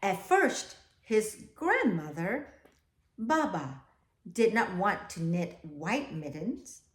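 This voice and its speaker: A woman reading a children's picture book aloud expressively, often pausing to ask her young listeners questions. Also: mid-range voice, sing-song. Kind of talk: children's read-aloud, alternating slow reading with asides about the pictures. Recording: echoey, roomy sound.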